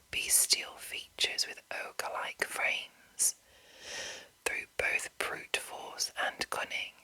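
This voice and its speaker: Female ASMR host, crisp-edged bright whisper, unhurried and soothing, throat sore and cracking. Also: low-quality recording. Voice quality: breathy unvoiced whisper. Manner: slow, soothing, deliberate delivery. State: under the weather with sore throat and breaking voice. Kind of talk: whispered ASMR, reading and commenting.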